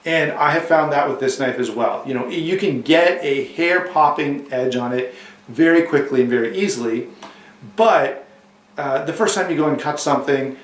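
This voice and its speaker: A man with a cold and a slightly hoarse voice, casually reviewing a knife to camera, rambling with restarts and asides. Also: fairly high pitch, normal speed, echoey room, distant mic.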